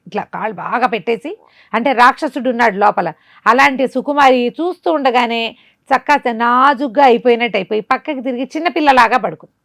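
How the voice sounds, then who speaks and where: కథ చెప్పే మహిళ, పొడి స్పష్టమైన రికార్డింగ్. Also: female voice, a little high, fairly bright tone, no room sound, studio quality. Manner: conversational, explanatory asides. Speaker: storyteller. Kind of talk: animated oral bedtime storytelling.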